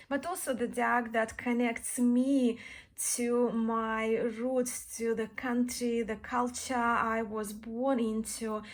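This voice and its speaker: Slow-paced Eastern European woman, higher voice. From Belarus, born in Russia. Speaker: tarot deck reviewer, vlogger. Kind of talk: casual chat to viewers, show-and-tell.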